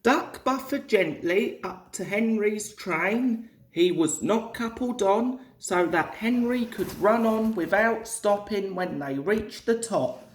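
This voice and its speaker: A woman reading a children's story aloud in a measured, deliberate way, as a scripted storybook narration. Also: medium pitch, lively intonation. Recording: echoey room.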